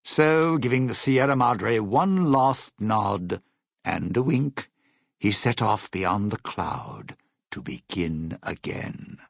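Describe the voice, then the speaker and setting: An actor playing a smug, suspicious old lounge singer and thief in a video game, with a raspy, gravelly voice and a sardonic showman's delivery. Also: fairly low pitch, animated, slow, no room sound, studio quality, full character voice. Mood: wry, reflective. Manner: sardonic, slangy showman's patter. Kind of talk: in-game character dialogue and epilogue narration.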